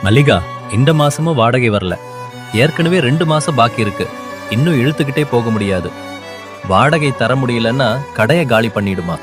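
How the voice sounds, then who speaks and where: A man playing a stern village landlord in an animated moral story. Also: medium pitch, moderate pace, pauses often, close to an everyday voice. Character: stern village shop landlord demanding overdue rent. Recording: loud string music underneath.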